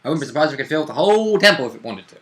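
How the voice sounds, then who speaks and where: A man's voice: a little high, very animated, rapid-fire, a bit bright. A man co-hosting as a player, chatting casually about a tabletop game with jokey, interjected quips. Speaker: player and co-host.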